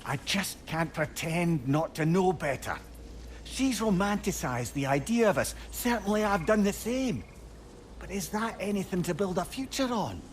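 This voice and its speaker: An actor playing a wry, self-doubting older advisor in a video game, speaking in a wordy, lyrical way. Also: male voice, sits high, normal speed, slight background noise. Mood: regretful. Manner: wordy, lyrical, expressive storytelling. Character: wry, eloquent, self-doubting older male advisor, warm with his friend. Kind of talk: dramatic video game acting.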